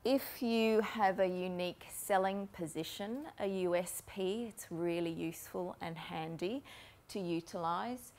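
A woman's voice: fairly low pitch, unhurried, a little mellow. A woman in her forties giving a conference talk in a lecture room on a lapel mic, explaining steadily with frequent ums. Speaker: keynote speaker on marketing. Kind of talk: conference presentation. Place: lecture room with a projector screen.

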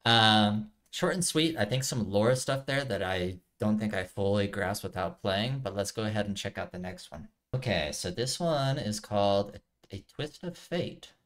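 A man's voice: lowish voice, animated, moderate pace, hardly any pauses, fairly bright tone. A middle-aged man giving casual reaction commentary as a video host, musing aloud as he watches. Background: trailer music.

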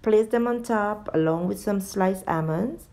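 A woman's voice: medium pitch, a little slow, mellow. A woman giving a step-by-step cooking tutorial as a voice-over, addressing viewers directly as a home cooking host.

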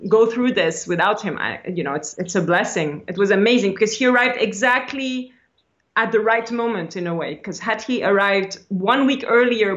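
A woman's voice: medium pitch, fairly bright tone. A woman in her forties speaks over a muffled video-call line from a small room. She talks in a loose, chatty way, restarting sentences and laughing often. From Switzerland. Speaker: guest, actress. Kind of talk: casual video-call interview. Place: small indoor room by a window. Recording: dull lo-fi video-call audio.

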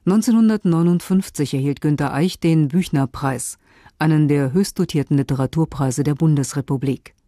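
Male broadcast announcer: warm, measured, formal scripted delivery, close and dry. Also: fairly high pitch, no room sound. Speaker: radio announcer.

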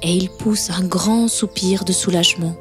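A woman's voice: fairly low pitch, steady tone, moderate pace. A woman narrating a scripted children's story as a storyteller. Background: soft background music.

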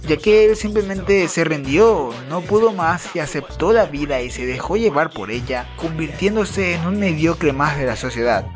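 An enthusiastic, informal host narrating a scripted review, giving recommendations in a casual register. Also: medium pitch. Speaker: host of a manga recommendation video. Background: music.

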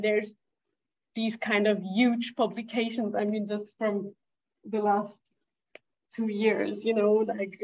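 A woman who is a native German speaker, discussing her research informally in an online academic talk. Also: medium pitch. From Germany or German-speaking Europe. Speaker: academic researcher, lecture presenter. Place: indoor room on video call. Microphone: video call. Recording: slightly dull video-call audio.

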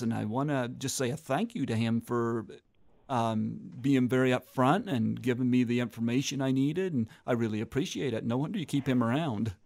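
Halting male movie-review host. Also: medium pitch, darkish tone. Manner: halting, many ums. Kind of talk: casual interview.

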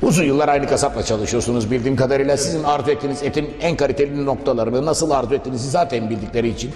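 A man's voice: mid-range voice, rapid-fire, darkish tone. A man hosting a formal promotional TV interview, speaking in long, polite, wordy sentences. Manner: wordy, formal, addresses viewers and guest politely. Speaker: interviewer, TV host. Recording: echoey reverberant room, music behind. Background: background music.

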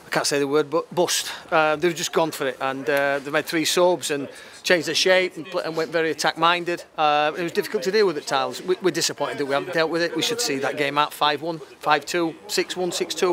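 A man in his fifties giving an unscripted post-match football interview, matter-of-fact and halting, repeating phrases as he goes. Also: fairly high pitch, fairly even intonation, a crowd in the background. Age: 50s. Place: outdoors at a football ground at night. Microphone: handheld interview microphone. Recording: clear outdoor background noise.

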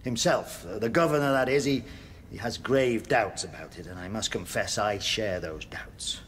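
An actor playing a zealous, pompous prison chaplain in a radio drama, with a declamatory way of preaching. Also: male voice, mid-range voice, normal speed, slight background noise, lightly acted. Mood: grave, hesitant. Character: fire-and-brimstone prison chaplain, zealous, pompous, troubled by doubt.

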